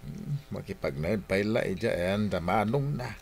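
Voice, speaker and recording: A man playing a gruff, nagging provincial father in a radio drama, with an Ilocano accent. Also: fairly low pitch, lively intonation, moderate pace, a little mellow, full character voice. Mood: matter-of-fact.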